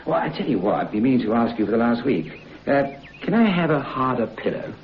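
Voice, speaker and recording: An actor playing a curious, fumbling hotel guest in an old radio drama. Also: male voice, medium pitch, sing-song, quick pace, slight background noise, lightly acted. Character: curious, friendly male English hotel guest. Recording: dull old radio recording.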